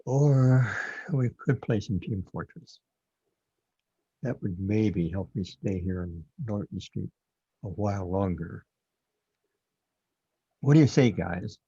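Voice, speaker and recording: A man playing a confused, paranoid, brooding man who lives inside a computer, with a husky voice, in an audio drama. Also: fairly low pitch, takes it slowly, long pauses, mellow, no echo, exaggerated voice. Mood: relieved, rambling. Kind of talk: audio drama monologue and dialogue. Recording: dull, lo-fi, dry close recording.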